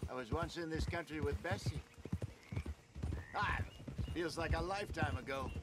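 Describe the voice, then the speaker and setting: Man doing old outlaw, wry and careful, bit wheezy, slightly high voice, western game. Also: normal speed, close to an everyday voice. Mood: wry, nostalgic. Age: older. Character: wry, cautious old male outlaw, longtime comrade. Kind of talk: dramatic western game cutscene acting.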